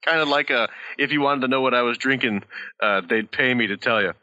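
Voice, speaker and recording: A man's voice: mid-range voice, muffled recording. A man co-hosting a podcast, bantering casually and laughing often. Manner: laughs often, quick and lively. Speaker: podcast co-host.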